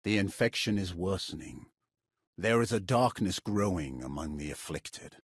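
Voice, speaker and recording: An actor playing a grave, formal warrior in a video game, speaking with solemn deliberation. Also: male voice, low voice, expressive, moderate pace, slightly rough, no room sound, studio quality. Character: grave, dutiful male Sith warrior ally, menacing and formal. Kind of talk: video game cutscene acting.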